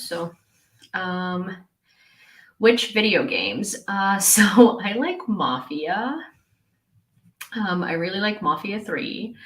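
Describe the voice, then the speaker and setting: Young woman, from Ukraine, live-stream chat, slow and drawn out, giggly, lots of fillers, roomy echo. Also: on the low side, fairly bright tone.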